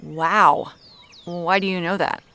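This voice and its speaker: An actress playing a determined, blunt amateur detective in an audio drama, matter-of-fact and wry. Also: female voice, lowish voice, steady tone, takes it slowly, gravelly, sharp tone, heavily acted. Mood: wry, focused.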